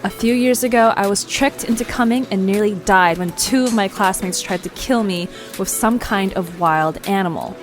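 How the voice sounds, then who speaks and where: A woman playing a bold, nosy young amateur journalist in an audio drama, with a brisk, confident, playful delivery. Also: medium pitch, soft background music, lightly acted. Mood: reading gravely. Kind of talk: conversational audio drama acting.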